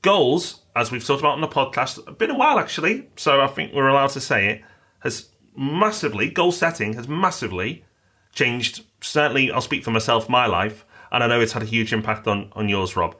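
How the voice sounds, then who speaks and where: A man's voice: medium pitch, expressive, brisk, pushed and bright. A British man co-hosting a podcast, chatting upbeat and reading listener mail aloud. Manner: upbeat, promotional, reads a listener review aloud. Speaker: podcast co-host. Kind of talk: casual podcast chat.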